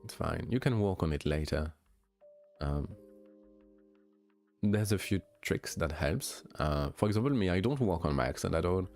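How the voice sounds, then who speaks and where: A young man with a light French accent, a streamer chatting casually with viewers, relaxed and hesitant with many fillers and restarts. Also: deep voice, normal speed, long pauses, soft background music. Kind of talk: casual chat answering viewers.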